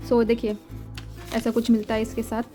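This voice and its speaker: High-voiced woman teaching German. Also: monotone, mellow. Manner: patient, repeats words slowly, mixes Hindi and English. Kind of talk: explaining textbook exercises. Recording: faint music behind.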